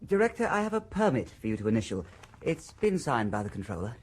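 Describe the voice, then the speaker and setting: A man's voice: medium pitch, very animated, quick pace, husky. An actor playing an awkward, resentful male official in a radio drama, deferential and hesitant with small stammers. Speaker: a nervous junior official. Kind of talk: 1990s radio drama acting.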